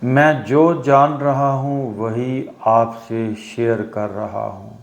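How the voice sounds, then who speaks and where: A man teaching guided meditation, giving instructions in short phrases with long pauses between them. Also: medium pitch, steady tone, vocal fry. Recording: roomy echo on the voice.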